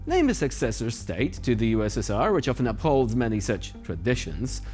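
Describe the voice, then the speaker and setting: A male news host delivers scripted satirical commentary with a sardonic edge. His intonation swings dramatically around an average pitch, and music plays behind him. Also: big pitch swings, brisk, darkish tone. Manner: sardonic, mocking.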